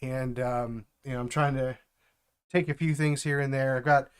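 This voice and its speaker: A middle-aged man, stuffed up from allergies, chatting casually on a live stream from home, halting and full of fillers. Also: mid-range voice, little pitch movement, smooth. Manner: halting, with many fillers and restarts. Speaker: streamer and developer mentor.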